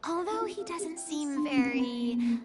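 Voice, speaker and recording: A sarcastic high-voiced companion. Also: female voice, animated, slow pace, over loud music. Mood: exasperated. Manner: dry sarcastic asides. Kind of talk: video game voice acting.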